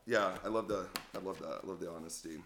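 A man hosting a podcast in a casual, laid-back and agreeable way, quick to laugh along. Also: deep voice, echoey room, noisy background. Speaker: podcast host.

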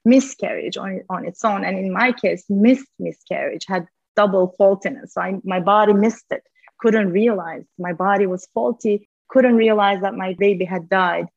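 A woman's voice: mid-range voice, normal speed, vocal fry, dry recording, lo-fi sound. A woman, a linguist and podcast guest, speaking earnestly in a candid conversation and repeating words for emphasis. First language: Turkish.

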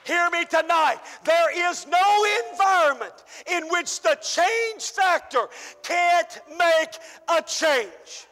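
A pastor preaching a fervent sermon, calling out and shouting to his church, and losing his voice. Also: extremely high pitch, lively intonation, takes it slowly, long reverb tail. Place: church hall.

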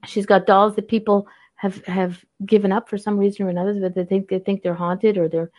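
A woman's voice: mid-range voice, level tone, a little fast, darkish tone, no echo. A woman in a casual chat, playful and teasing, laughing often.

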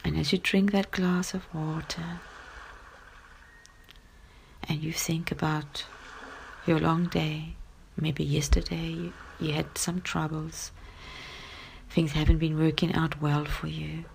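A woman narrating a guided sleep story in a gentle, soft and breathy voice, often close to a whisper, with long pauses. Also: deep voice, little pitch movement, slight background noise. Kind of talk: soothing bedtime story, guided relaxation. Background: music.

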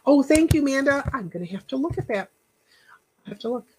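A woman hosting a casual live chat, rambling and encouraging, thanking viewers by name, with a stuffy nose and a voice that is going hoarse. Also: high-pitched, expressive, a little fast, darkish tone, a little hiss or hum, rough recording. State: losing her voice. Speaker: podcast host.

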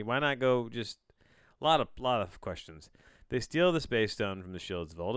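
A man's voice: lowish voice, no room sound, clean studio sound. A man hosting a solo podcast, casually reading aloud with bemused, rambling asides and frequent self-interruptions. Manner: casual swearing. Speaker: podcast host.

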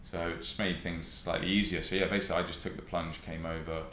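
Young male podcast guest, southern English, low-pitched, casual, echoey recording. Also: level tone, fast, fairly bright tone. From West Sussex, England. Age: young adult. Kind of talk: casual chat, telling own experience. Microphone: podcast microphone. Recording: roomy echo with faint noise.

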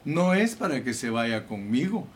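A man's voice: medium pitch, big pitch swings, measured pace, slight background noise. A man preaching a sermon with fervor, calling his listeners brothers and reading scripture aloud. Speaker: pastor.

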